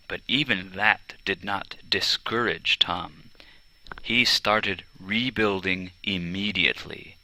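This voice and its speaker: A man reading a children's biography as scripted narration, with a deliberate storytelling delivery. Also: deep voice, sharp tone, poor audio. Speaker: audiobook narrator. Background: string quartet music.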